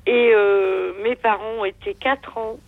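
A woman's voice: medium pitch, fairly even intonation, slow pace, no room sound, phone-quality sound. A woman in her sixties calling in to a radio show, rambling and hesitant with many fillers, heard over the phone. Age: 60s. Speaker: radio caller. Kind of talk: phone-in chat.

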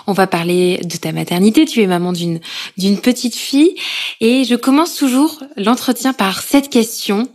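A French woman who hosts a podcast, reading a scripted intro and then asking questions gently with some hesitation. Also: medium pitch, normal speed. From France. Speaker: podcast host and journalist.

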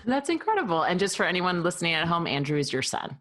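A woman's voice: fairly low pitch, big pitch swings, fast talker, fairly bright tone, no echo. A woman hosting an interview podcast, introducing her guest and asking questions in an upbeat, eager way. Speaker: podcast host.

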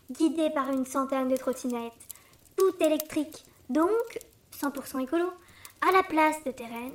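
An actor playing Santa's smug, eager young son, a start-up boss pitching in jargon in a comedic audio drama. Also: male voice, a little hiss or hum. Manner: smug, jargon-laden, salesman-like patter. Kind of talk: comedic audio fiction acting.

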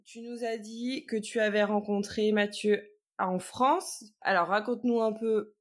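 A woman's voice: mid-range voice, fairly even intonation, unhurried. A woman hosting a podcast, leading the interview with friendly, guiding questions. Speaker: podcast host.

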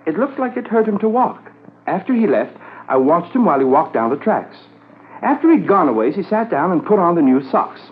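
Folksy small-town shopkeeper, wordy and apologetic, animated pitch, thin vintage radio sound. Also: male voice. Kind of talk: 1950s radio drama acting. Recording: lo-fi 1950s radio recording, faint noise.

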